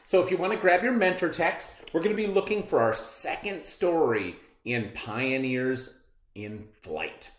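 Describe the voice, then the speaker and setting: A man leads a children's reading lesson, with a pitch that leaps up and down as he explains and reads aloud. He speaks in an encouraging way, at an unhurried pace. The room has a noticeable echo. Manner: animated, encouraging teacher talk to children. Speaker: teacher. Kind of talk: classroom read-aloud lesson.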